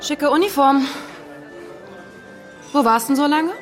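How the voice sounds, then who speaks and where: Cheeky, high-voiced young barmaid. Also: female voice, slow, soft background music. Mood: teasing. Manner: pert, teasing, drops into whispers. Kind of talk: German radio play acting.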